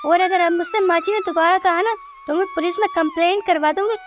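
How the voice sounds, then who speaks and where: A woman playing a quarrelsome, defiant young daughter-in-law who scolds and threatens in an animated moral story. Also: very high voice, a little fast. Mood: angry, defiant. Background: music.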